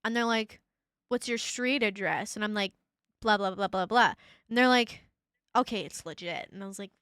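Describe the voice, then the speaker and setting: A young woman in her twenties, mid-pitched, rattling off stories in casual podcast chat with frequent laughs and swearing. Her voice sounds close and dry, with no echo. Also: a bit bright, smooth. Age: 20s. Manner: quick, rambling, laughs often, swears. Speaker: podcast co-host.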